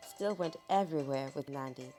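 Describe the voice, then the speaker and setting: A woman narrating a children's folk tale from a script in a dramatic, expressive storytelling manner. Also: rumbling low, moderate pace, soft background music.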